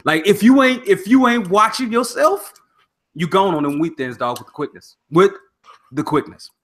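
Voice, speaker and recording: A man in his thirties from Oklahoma hosting a casual podcast in a natural baritone, slangy and animated, laughing often. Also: moderate pace. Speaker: podcast host.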